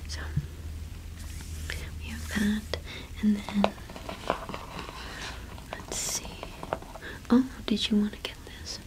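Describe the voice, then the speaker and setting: A young woman whispers her way through an ASMR roleplay as a shopkeeper. Her delivery is slow and gentle, with little rise or fall in pitch and frequent pauses. She speaks close to the microphone. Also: level tone, rough recording. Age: young adult. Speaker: ASMR performer playing a cottage witch shopkeeper.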